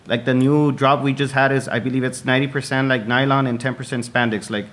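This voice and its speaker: A man's voice: mid-range voice, flat delivery, fast talker, a bit bright. A man of about thirty talking casually as a podcast guest, explaining things in a slightly hesitant way. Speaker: podcast guest, clothing brand co-owner. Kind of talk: casual chat.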